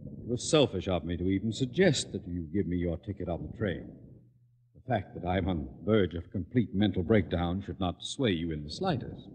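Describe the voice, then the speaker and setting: An actor playing a pompous, scheming middle-aged school principal in old radio comedy, with a blustering, wheedling, theatrical manner. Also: male voice, deep voice, expressive, moderate pace, a little mellow, in a large hall. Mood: manipulative, theatrical. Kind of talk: 1950s radio comedy acting. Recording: noisy old-time radio recording.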